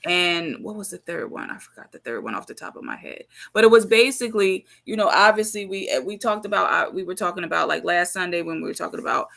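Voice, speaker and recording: Bright, gravelly-voiced woman co-host. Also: lowish voice, fast talker, few pauses. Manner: relaxed, searching for words with many fillers. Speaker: podcast co-host. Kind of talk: casual podcast chat.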